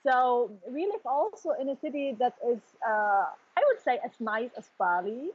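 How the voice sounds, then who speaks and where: A woman's voice: a little high, animated, moderate pace, slightly rough. A middle-aged woman from eastern Germany with a German accent, answering interview questions over a dull remote call, sometimes searching for words. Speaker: podcast guest. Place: half-open office in tropical rain.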